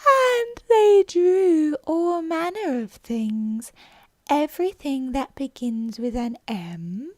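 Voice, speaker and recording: A woman voicing a sleepy, sulky little male dormouse in a storybook reading, with a slow, drowsy drawl. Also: high-pitched, lively intonation, darkish tone, no echo, pitched above the performer's own voice. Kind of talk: storybook character voice.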